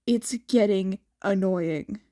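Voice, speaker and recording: Woman doing a low, sardonic teenage boy. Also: takes it slowly, mellow, close to an everyday voice. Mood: teasing. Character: sardonic teenage boy, weary teasing older brother.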